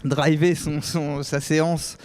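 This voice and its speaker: A man's voice: fairly high pitch, little pitch movement, slow pace, darkish tone. A man co-hosting a podcast who asks his guest questions in a teasing, jokey way. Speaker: podcast co-host. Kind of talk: casual podcast chat.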